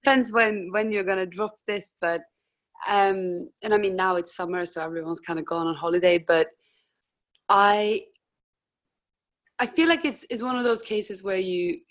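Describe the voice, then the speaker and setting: A young woman with a husky voice, a musician guest chatting casually in an interview, hesitant with frequent restarts. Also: mid-range voice, normal speed.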